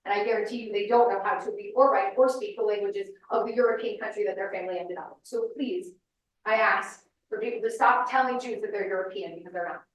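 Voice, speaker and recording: A woman's voice: mid-range voice, fast, a little hiss or hum. Emphatic woman lecturing in an echoey hall. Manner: emphatic, insistent. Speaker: speaker. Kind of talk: public lecture. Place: large room with audience. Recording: echoey hall, mic at a distance.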